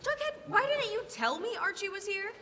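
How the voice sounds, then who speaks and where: An extremely high, swooping, bright voice of an excitable teenage girl. She gushes and demands in old radio comedy style, echoing around a stage hall. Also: fairly quick, exaggerated voice. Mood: excited, demanding. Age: teens or young adult. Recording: echoey live stage hall.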